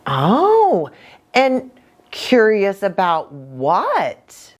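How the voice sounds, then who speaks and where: Animated woman hosting children's storytime. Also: mid-range voice, extremely slow. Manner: animated, addressing young children with questions. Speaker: children's ministry host and pastor. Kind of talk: children's storytime, read-aloud with asides.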